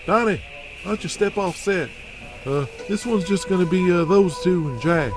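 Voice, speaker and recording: A man's voice: high-pitched, moderate pace, over music, natural voice. A man playing an anxious, deferential backstage assistant to a wrestling boss in an audio drama, hesitant and fretful. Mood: worried. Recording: crowd noise behind the voice.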